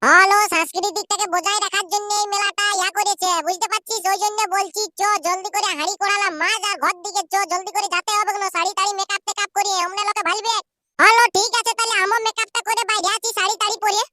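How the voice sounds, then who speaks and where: A bustling cartoon village woman acted by a man chatters very fast in a tiny, extremely high voice. She has a Purulia accent and sounds bossy and boastful, repeating herself as she hurries everyone along. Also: level tone, background noise. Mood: excited, bossy.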